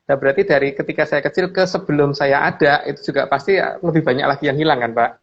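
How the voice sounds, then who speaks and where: A man's voice: a little high, fast talker, fairly bright tone. A middle-aged man explains his opinions in casual discussion, often repeating phrases and seeking agreement. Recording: dull lo-fi audio, clear background noise.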